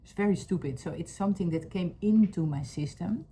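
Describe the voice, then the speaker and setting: A woman with a Dutch accent, speaking earnestly to camera at home in English, often pausing to search for words. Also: fairly low pitch, a little hiss or hum. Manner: searching for words, many fillers, soft and earnest. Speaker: vlogger. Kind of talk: personal heartfelt talk to camera. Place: home room with a couch.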